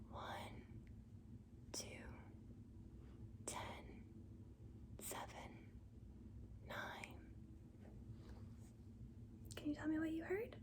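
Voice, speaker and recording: A woman doing a soft-spoken ASMR nurse roleplay, mostly in a breathy whisper, with a gentle, reassuring manner. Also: high voice. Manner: gentle, reassuring, slow and deliberate. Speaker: ASMR performer playing a school nurse.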